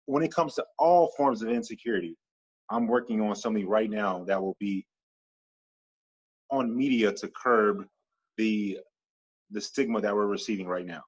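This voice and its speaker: A man speaking as a podcast guest in a casual interview, animated and stacking long repeated lists as he talks. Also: fairly low pitch, normal speed, pauses often, husky.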